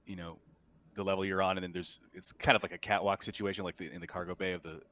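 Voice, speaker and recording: A man improvising narration as game master of a tabletop game over group voice chat, halting and often restarting his phrases. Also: low-pitched, flat delivery, bright, forceful tone, slight background noise.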